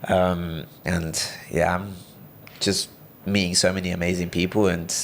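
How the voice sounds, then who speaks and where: A young man from Brazil speaks with a British-tinged accent in a deep register. His voice is low and rough with fry, and he wanders through his points with fillers and restarts. A faint noise sits under the recording. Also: flat delivery, measured pace. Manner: rambling, many fillers and restarts. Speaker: podcast guest. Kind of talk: casual interview chat.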